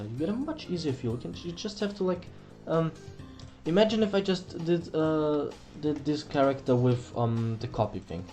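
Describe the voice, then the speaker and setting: A man's voice: on the high side, mellow. A young man with a German accent, a native German speaker, hosting a casual drawing tutorial at home on a webcam mic, rambling and correcting himself as he goes. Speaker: art tutorial host. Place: small room at home, indoors.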